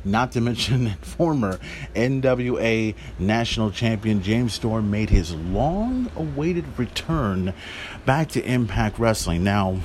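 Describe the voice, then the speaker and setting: A man's voice: lowish voice, animated, slow pace, a little mellow. Male podcast host, forties. Casual, loose, emphatic commentary, slightly noisy recording.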